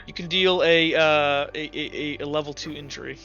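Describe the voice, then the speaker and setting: A man's voice: fairly high pitch, fairly even intonation, moderate pace, sharp tone, muffled recording, soft background music. A man casually narrating a tabletop roleplaying game as game master, thinking aloud and correcting himself as he goes.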